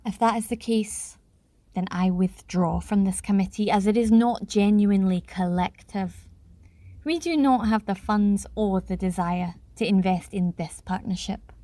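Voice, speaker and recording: A woman acting a practical, quietly doubtful parliament delegate in a radio play, measured and formal. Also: mid-range voice, no echo, natural voice. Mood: resolute.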